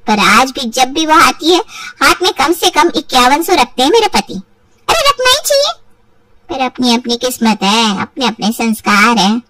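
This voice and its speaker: A woman's voice: high voice, bright, forceful tone, distant mic, exaggerated voice. A woman voicing a spiteful, whining married sister-in-law in a cartoon moral story, sarcastic and theatrical.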